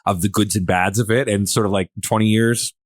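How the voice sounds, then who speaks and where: Very low-voiced man, casual podcast host. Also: steady tone, brisk, dry recording, clean studio sound. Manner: agreeable, hesitant phrasing with frequent interjections. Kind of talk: casual conversational hosting.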